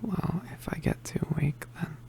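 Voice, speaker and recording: A performer voicing an injured, poisoned young male witch in an ASMR roleplay, weak and apologetic, mostly whispering in halting, pained breaths. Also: mellow, natural voice. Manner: halting, pained, with sighs and throat clearing.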